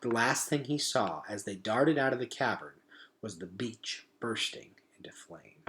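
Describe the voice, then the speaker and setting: A man reads an adventure novel aloud in a mid-pitched voice. His delivery is very animated and full of suspense, swinging up and down like a storyteller holding young listeners. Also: a little slow, slight background noise. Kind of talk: dramatic children's novel read-aloud.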